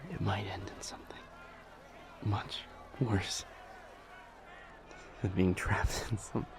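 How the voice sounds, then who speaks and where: A man playing an exhausted, devoted demon lover in an audio roleplay, halting and breathy as he strains to speak. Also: fairly low pitch. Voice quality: breathy, whispery. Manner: halting, straining, repeats words. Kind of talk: intimate dramatic audio roleplay.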